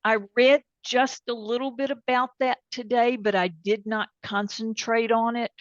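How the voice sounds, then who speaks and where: A plainspoken woman presenting an informal software demonstration and taking questions, candid about what she does and doesn't know. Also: a little high, flat delivery, normal speed, no echo. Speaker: presenter and tech support. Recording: dull, band-limited sound.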